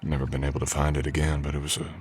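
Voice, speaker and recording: A man playing a polite drifter cowboy hired on an Old West ranch, talking in an unhurried folksy drawl in an ASMR roleplay. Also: extremely low pitch, flat delivery, darkish tone, breathy edge, full character voice. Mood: wistful, reflective. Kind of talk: ASMR roleplay acting, addressing the listener.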